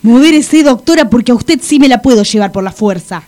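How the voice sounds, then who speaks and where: A woman's voice: fairly high pitch, normal speed, warm tone, close to an everyday voice. A woman playing a brusque, exasperated law officer in a comic audio drama.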